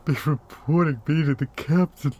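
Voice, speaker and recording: A man playing a guilt-ridden corrupt policeman in an audio drama, speaking haltingly and stammering as he confesses. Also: on the high side, steady tone, darkish tone, close to an everyday voice. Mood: anguished, breaking down. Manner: halting, stammering, repeating himself. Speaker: voice actor in a fan-made audio drama.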